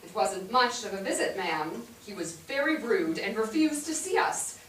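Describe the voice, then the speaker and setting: A woman's voice: medium pitch, very animated, normal speed, fairly bright tone. A woman playing a proper, deferential young wife in a staged play reading, speaking carefully and courteously. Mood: polite, tentative. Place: theatre stage, recorded from afar. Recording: distant noisy microphone in echoey theatre.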